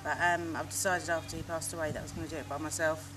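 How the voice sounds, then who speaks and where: A woman's voice: low voice, level tone, very fast. Hesitant woman, noisy distant recording. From London, UK. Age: 30s. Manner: hesitant, many ums. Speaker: interviewee. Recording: distant mic with loud background noise.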